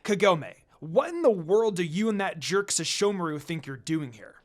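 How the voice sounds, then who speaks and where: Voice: medium pitch, very animated, moderate pace, lightly acted. A reader voicing a brash, hot-tempered young half-demon man in an amateur story reading. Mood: startled, irritated.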